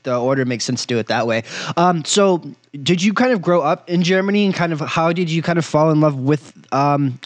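A man's voice: fairly high pitch, expressive, brisk, no echo. A man hosting a sports betting podcast, talking casually and effusively with lots of filler ums. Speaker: podcast host.

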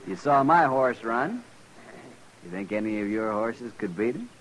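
An actor playing a swaggering, mocking young army lieutenant in a 1950s radio western. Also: male voice, lowish voice, lively intonation, unhurried, a bit bright, rough recording. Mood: taunting. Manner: mocking, needling. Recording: vintage 1950s radio recording.

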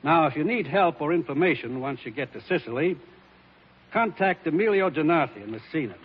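An actor playing a grave, businesslike commissioner in a 1940s radio drama, speaking with an American accent in a measured, authoritative way. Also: male voice, fairly high pitch, animated, slightly rough, big-room sound. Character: grave, businesslike male commissioner briefing his agent. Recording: muffled 1940s radio recording.

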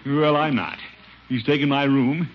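An actor playing a pompous, put-upon middle-aged uncle in a 1950s radio sitcom, blustery and exasperated. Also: male voice, medium pitch, steady tone, a little slow, lightly acted. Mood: grumbling. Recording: muffled, very noisy old radio recording.

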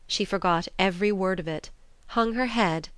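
A woman's voice: medium pitch, moderate pace, smooth, no room sound. A woman reading a classic novel aloud as a scripted literary narration. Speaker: audiobook narrator.

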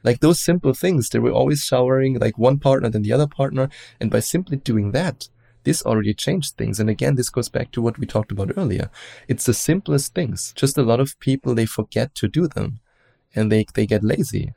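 A man with a German accent, a relationship coach giving measured advice as an interview guest, often hedging with "let's say". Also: mid-range voice, vocal fry, dark tone, no room sound, studio quality. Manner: explanatory, measured, hedges with "let's say".

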